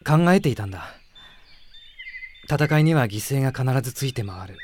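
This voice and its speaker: An actor playing an earnest, gentle young prince and army commander in a radio drama, speaking with sincere emotion. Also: male voice, mid-range voice, animated, normal speed, a little hiss or hum, lightly acted. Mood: troubled, reflective. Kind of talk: Japanese radio drama acting.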